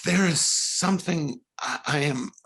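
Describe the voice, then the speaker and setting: A male actor playing a tormented, unraveling concert pianist in a 1940s-style radio drama, stammering and halting in an overwrought way. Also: a little high, fairly bright tone. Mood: anguished, hesitant.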